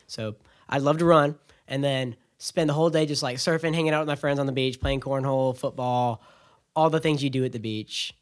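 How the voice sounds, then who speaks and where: A young American man from Florida chatting casually as a podcast co-host, excitable and enthusiastic. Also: medium pitch, a little fast. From Florida, United States. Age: young adult. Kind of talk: casual chat.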